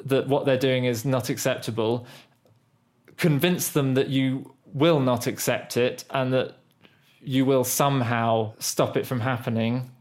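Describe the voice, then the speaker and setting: A young British man co-hosting a podcast, telling rambling, self-deprecating stories with frequent restarts. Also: medium pitch. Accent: British English. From Britain. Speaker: podcast co-host. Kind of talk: casual conversational storytelling.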